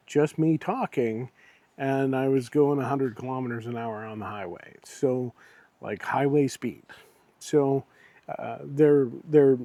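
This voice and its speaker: A man's voice: medium pitch, warm tone, no echo. A man talking casually and without a script as he reviews a product, in a conversational, rambling and somewhat hesitant way. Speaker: product reviewer and video creator.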